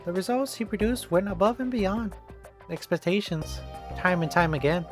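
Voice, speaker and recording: Narrator reading aloud over clear music. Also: medium pitch, animated, normal speed. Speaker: streamer reading a visual novel aloud. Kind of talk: expressive read-aloud narration. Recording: game music behind the voice.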